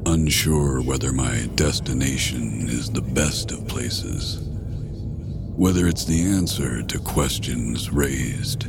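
Male narrator, bottomless gravelly bass, slow dramatic poetry reading over loud music. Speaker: spoken word narrator. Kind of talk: scripted poetic spoken word reading. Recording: loud music bed under voice.

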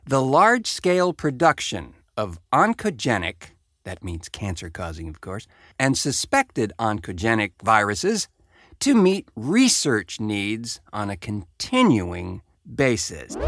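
A man's voice: mid-range voice, very animated, takes it slowly, a bit bright, no room sound, studio quality. An American man hosting a show, reading a report aloud with wry, sardonic conversational asides. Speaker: podcast host.

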